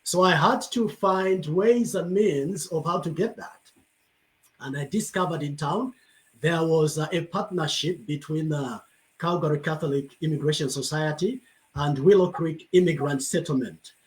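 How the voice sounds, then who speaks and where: Pastor from Zambia, man, panel guest; slightly high pitch, measured and thankful delivery over a video call. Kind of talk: panel conversation answer.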